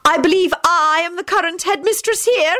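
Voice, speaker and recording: A woman's voice: fairly high pitch, very animated, normal speed, a bit bright, voice pushed up. A woman reading a story as a stern, icy elderly headmistress with a clipped, formal, severe manner. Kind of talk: audiobook character voice.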